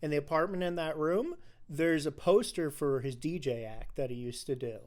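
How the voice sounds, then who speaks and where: A man co-hosting a film podcast in casual discussion, talking haltingly and often repeating his phrases. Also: fairly high pitch, a little mellow.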